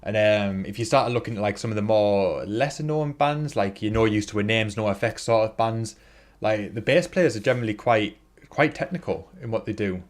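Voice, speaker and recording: A man's voice: fairly low pitch, normal speed. A man co-hosting a casual podcast, rambling and filling his talk with "like" and "sort of". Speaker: podcast co-host. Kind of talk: casual chat.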